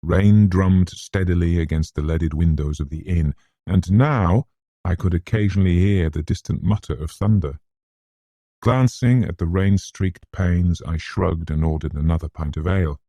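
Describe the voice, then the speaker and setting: A deep-voiced British man reads classic gothic fiction in a scholarly, formal way. His tone is dark and mellow, with a husky edge. The delivery is measured and literary. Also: dry recording. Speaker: narrator. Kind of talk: scripted gothic audiobook narration in a mid-century classic manner.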